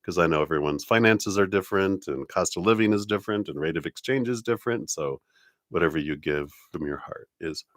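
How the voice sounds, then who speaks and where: A low-pitched man with a congested, nasal sound talks to viewers in a friendly, meandering way, full of ums and uhs. The recording is dry and close, with no room around it. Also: fairly quick, no room sound. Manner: gentle, friendly, meandering with many fillers. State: congested, nasal from a stuffy nose. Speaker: tarot reader hosting a live stream. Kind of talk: casual live-stream talk to viewers.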